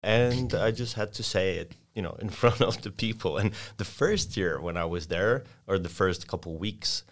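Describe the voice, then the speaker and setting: A man in his forties from Poland with a Polish accent, a sales consultant talking casually and acting out an eager, rushed door-to-door pitch. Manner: fast, eager salesman patter with frequent repeats. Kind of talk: casual interview, role-playing a sales pitch.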